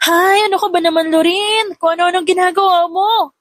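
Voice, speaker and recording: Woman playing high-pitched, flustered young woman. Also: fairly even intonation, moderate pace, dry recording. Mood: embarrassed. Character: flustered, playful young mother working for her boss.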